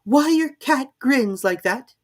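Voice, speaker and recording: A curious, well-mannered young girl from a storybook, acted by a woman. Her voice sits high and swings about a lot. She sounds earnest, expressive and quick to get exasperated. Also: heavily acted. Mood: timid, curious.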